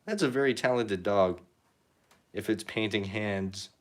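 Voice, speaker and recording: A young man chatting casually while painting on a live stream, rambling and self-deprecating, his pitch rising at the ends of phrases. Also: measured pace. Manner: rambling, self-deprecating, reads chat comments aloud. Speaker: painting livestreamer.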